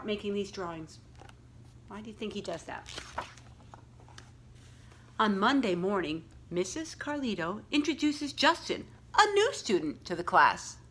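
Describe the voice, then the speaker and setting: A woman's voice: mid-range voice, bright, forceful tone, a little hiss or hum. Woman reading a picture book aloud. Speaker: school librarian reading aloud to children. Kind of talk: expressive picture-book read-aloud with questions to young listeners.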